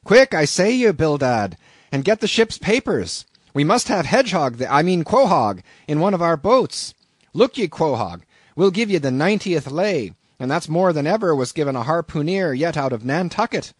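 A man puts on a blustering old sea captain for a classic storybook. The voice is gruff and raised in pitch, leaping about excitedly in a very dry recording. He is loud, hearty and commanding. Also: moderate pace, no room sound. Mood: urgent, commanding.

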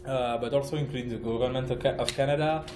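A man from France with a French accent, giving a conference talk as a project manager, halting, with many fillers and restarts. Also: mid-range voice, fairly even intonation, reverberant, noisy background. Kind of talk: conference presentation. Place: conference room.

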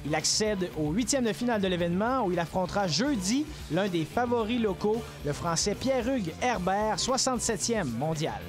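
A man hosting a sports news show, reading reports and interviewing with crisp, polished delivery. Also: high voice, animated, moderate pace, over music.

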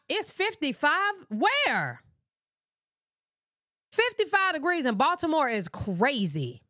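Lively, bright-voiced woman streamer. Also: fairly high pitch, dry recording, studio quality. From Michigan. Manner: animated, sassy, talks straight to viewers. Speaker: livestream reaction host. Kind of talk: casual livestream reaction commentary. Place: bedroom streaming setup with pink lights. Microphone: desk mic in front of her.

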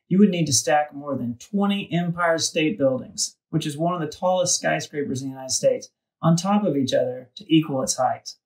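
A man's voice: on the high side, vocal fry. A man reading a scripted devotional for children, recorded at some distance from the microphone. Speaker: kids' devotional reader.

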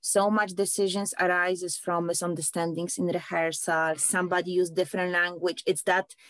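A woman moderating an online panel over a video call, asking measured, reflective questions. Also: lowish voice, flat delivery, a bit bright, no echo.

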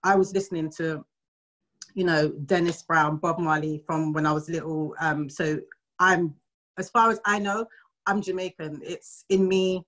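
A woman's voice: fairly low pitch, fairly even intonation, normal speed, a bit bright, rough recording. A woman from London with an English accent, chatting personally about her upbringing in a video-call interview.

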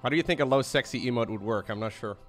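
A man's voice: mid-range voice, very fast, soft background music. A young man whose first language is Dutch, hosting a casual livestream chat from a studio mic in a small room at home. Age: young adult. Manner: lively, laughs often. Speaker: streamer host. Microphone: studio mic with headphones.

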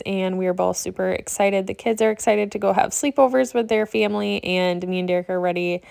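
A woman's voice: medium pitch, gravelly, darkish tone. A woman in her thirties chatting through a home cleaning vlog as a voice-over, loose and friendly, with frequent ums and restarts. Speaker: cleaning vlogger. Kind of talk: casual voice-over narration.

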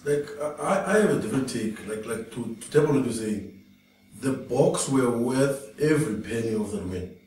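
A man's voice: mid-range voice, animated, moderate pace. A man on a sports panel, arguing his point emphatically. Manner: emphatic, forceful opinions. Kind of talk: casual studio sports chat. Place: small studio room, indoors. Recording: echoey room from a distance, faint noise.

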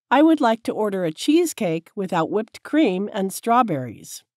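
A woman teacher reading short sentences slowly and clearly, each one said several times for learners to repeat. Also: mid-range voice, a little mellow, no echo, studio quality. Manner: careful, clear enunciation. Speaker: English teacher. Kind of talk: slow repeated drill sentences.

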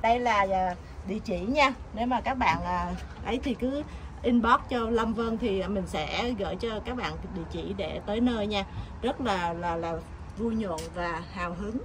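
Casting: middle-aged woman, chatty, upbeat vlog promoter, recorded outdoors with clear background noise. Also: medium pitch, normal speed. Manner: chatty, enthusiastic. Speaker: vlogger announcing a community event. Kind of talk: casual promotional vlog talk. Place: outdoors at a building's glass entrance. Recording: noisy outdoor camera audio.

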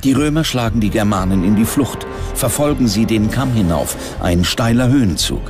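A man's voice: lowish voice, normal speed. A man reading scripted documentary narration as the narrator. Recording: music under the voice. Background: prominent music.

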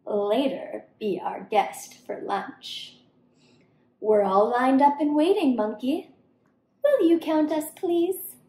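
A woman's voice: high-pitched, lively intonation, slow, dark tone. A woman voicing a boastful, crusty storybook crocodile with a declamatory, taunting delivery. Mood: taunting invitation. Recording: roomy indoor echo.

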